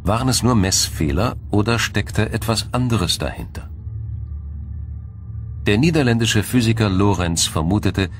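A man with a low-pitched voice reads scripted documentary narration. His delivery is formal and measured, laying out explanations step by step. Music plays behind his voice.

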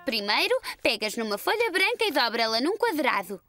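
A woman voicing a lively, confident little schoolgirl in a preschool cartoon, with a European Portuguese accent. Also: fairly high pitch, normal speed, no room sound, faint music behind. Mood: instructive.

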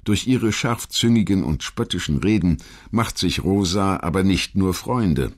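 A man reading scripted biographical narration as a documentary narrator, in a measured, articulate manner. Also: sits low, animated.

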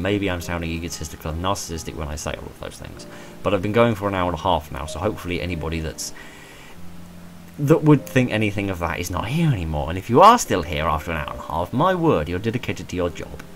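A man in his twenties with a hoarse voice, talking in a rambling, self-deprecating way about his channel and stumbling over repeated words from a small room at home. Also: deep voice, background noise. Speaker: channel creator. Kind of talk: rambling personal monologue.

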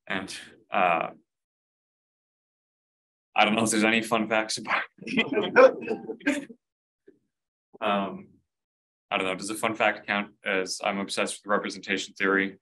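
Slow lowish guy from canada, kinda unsure, bright tone. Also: expressive, poor audio. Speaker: summer school student.

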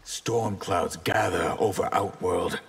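A man's voice: low-pitched, steady tone, takes it slowly, vocal fry, husky, exaggerated voice. A man playing a proud, ominous hooded mage in a fighting game, formal and portentous. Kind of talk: dramatic fighting game dialogue. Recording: reverberant hall-like game mix.

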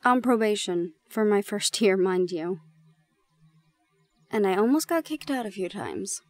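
A woman playing a shy, gentle young gorgon in an intimate audio roleplay, speaking softly and haltingly. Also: mid-range voice, expressive, dry recording, lightly acted. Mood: reflective, confiding. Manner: soft, hesitant, halting.

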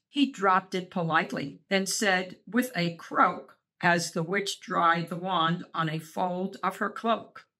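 A woman reading a children's picture book aloud, expressive and sing-song as a channel host. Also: mid-range voice. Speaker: storybook reader, channel host.

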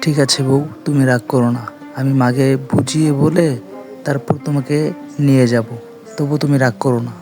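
A man's voice: medium pitch, steady tone, normal speed, music in the background, natural voice. A man voicing a caring young village husband in a cartoon family drama, soothing and reasoning.

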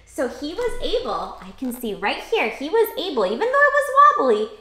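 A young adult woman reading a picture book aloud to young children in an animated, exclamatory, questioning way. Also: high voice, moderate pace. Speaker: children's read-aloud host. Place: small cozy indoor room. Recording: roomy indoor echo.

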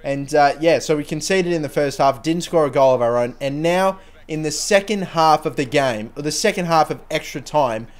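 A young man with a sore, fading voice, casually commentating his own football video game career, rambling and then bursting into excitement at goals. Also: fairly high pitch, normal speed, few pauses. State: sore throat, losing his voice. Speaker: gaming channel host.

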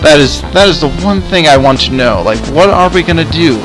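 Male gaming host, slightly high voice, hesitant improvised roleplay, repeats himself, music behind. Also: animated, a little fast, low-quality recording. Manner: hesitant, stammering, repeats phrases. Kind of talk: improvised in-game roleplay chat. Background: background music.

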